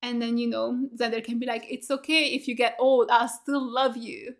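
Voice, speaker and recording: A woman's voice: on the high side, level tone, moderate pace. A young woman in her twenties hosting a reaction video, chatting casually about an episode with lots of fillers and rhetorical questions, her voice getting tired. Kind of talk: casual recap chat. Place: small home studio room with bookshelf.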